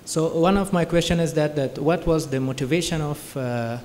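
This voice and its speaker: A man's voice: fairly high pitch, normal speed, darkish tone. Hesitant young man from Afghanistan. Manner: hesitant, many fillers. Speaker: student asking a question from the audience. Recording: reverberant hall, faint background noise.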